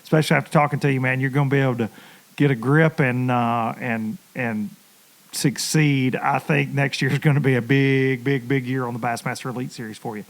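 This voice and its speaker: A man's voice: mid-range voice, fairly even intonation, a little fast. A man from Tennessee, hosting a fishing podcast in a folksy, joking way. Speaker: podcast host. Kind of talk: casual podcast chat.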